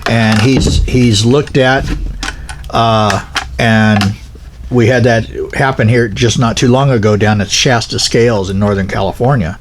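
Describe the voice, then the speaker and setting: A man with a medium-pitched voice gives informal, practical instruction. He speaks in a folksy, unscripted way, wandering through his points. His delivery halts often as he backs up and rephrases. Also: steady tone, slight background noise. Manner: rambling, folksy, halting with self-corrections. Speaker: pilot car operator giving equipment advice.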